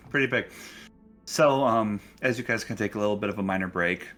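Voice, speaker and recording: A man narrating a tabletop game casually as game master, halting and thinking aloud as he goes. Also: lowish voice, a bit bright, soft background music.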